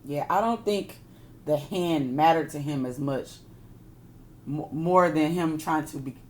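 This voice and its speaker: A woman's voice: low voice, unhurried, slight background noise, poor audio. A woman reading a picture book aloud like a teacher, expressively, with casual asides that explain and question her listeners.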